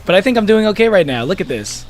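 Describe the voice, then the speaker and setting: A man chats casually with his viewers while he plays a game on stream. His voice sits a little high for a man, and he rambles, restarts his sentences and laughs often. Faint game music plays behind him. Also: very animated, quick pace. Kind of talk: casual live-stream chat while gaming.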